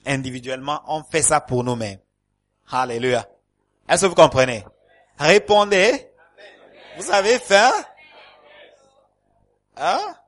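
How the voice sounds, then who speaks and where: A male pastor preaches in a church hall, with a voice of ordinary middle pitch for a man. He speaks French as a second language and stumbles through fillers and repeated words. Calls of alleluia punctuate nearly every phrase as he rallies his congregation. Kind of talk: sermon.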